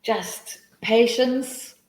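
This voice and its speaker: A woman's voice: on the high side, extremely slow, a little mellow, reverberant, poor audio. A South African woman hosting a live teaching webinar, answering questions in a chatty, encouraging way with many restarts.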